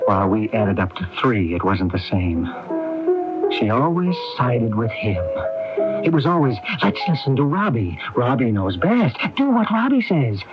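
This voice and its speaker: An anxious, resentful businessman, played by a man in a radio mystery play. His mid-pitched voice swings widely with agitation and hesitant pleading, over music on a dull AM recording. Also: animated, measured pace, lightly acted. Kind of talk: radio mystery drama acting.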